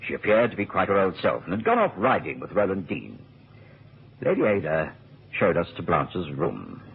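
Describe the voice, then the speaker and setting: An actor playing an earnest gentleman physician, recounting a case with dramatic storytelling in a radio drama. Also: low voice, big pitch swings, moderate pace, a little hiss or hum. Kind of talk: radio drama narration and acting. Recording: tinny old radio recording.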